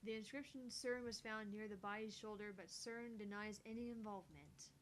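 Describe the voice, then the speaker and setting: A woman with a mid-pitched voice reads scripted game dialogue and reports aloud. It is a home recording with a faint rumbling noise underneath. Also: normal speed, poor audio. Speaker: amateur voice reader of a visual novel.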